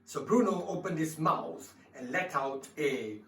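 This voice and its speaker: A man telling a dramatised story for children, expressive and speaking directly to his listeners. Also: a little high, unhurried, slight background noise. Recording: roomy, distant mic with faint music.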